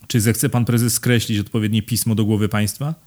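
A man's voice: lowish voice, little pitch movement, normal speed, darkish tone, close to an everyday voice. A man voicing a polite, easily awed male official in an audiobook, with a deferential manner. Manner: polite, trembling.

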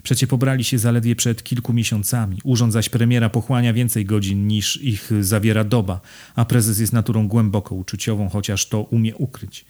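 A man's voice: lowish voice, fairly even intonation, fairly quick, a little mellow, lightly acted. Man reading as a sentimental society matron. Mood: confiding. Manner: exalted, sentimental. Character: gushing, dramatic society matron, older aunt.

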